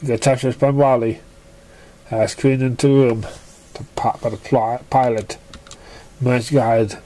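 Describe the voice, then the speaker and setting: Someone narrating a story real slow, kinda halting, stumbles over the reading. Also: medium pitch, flat delivery, dark tone.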